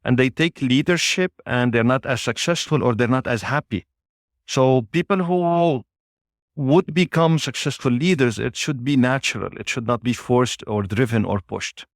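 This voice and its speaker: Measured man, dry close sound. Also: mid-range voice, dry recording. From Lebanon. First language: Arabic. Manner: measured, with frequent "uh" pauses. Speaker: guest, business executive. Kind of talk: interview answers.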